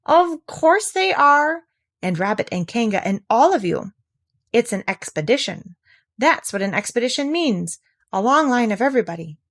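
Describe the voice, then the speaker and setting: A woman voicing a confident little boy who talks in a brisk, know-it-all way. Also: on the high side, big pitch swings, a bit bright, voice pushed up, exaggerated voice. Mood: bossy. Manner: brisk and knowing.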